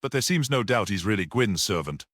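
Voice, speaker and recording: A man voicing a brisk, sceptical police detective in a mystery audiobook, businesslike and questioning. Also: fairly low pitch, expressive, a bit bright, dry recording, clean studio sound. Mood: puzzled.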